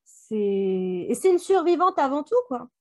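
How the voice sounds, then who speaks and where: A woman with a very high-pitched voice, in a dry recording without room sound. She co-hosts a casual story discussion in a blunt, slangy way. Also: sing-song, unhurried, a little mellow, dry recording. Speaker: book club podcast co-host. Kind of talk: casual discussion of an audio drama.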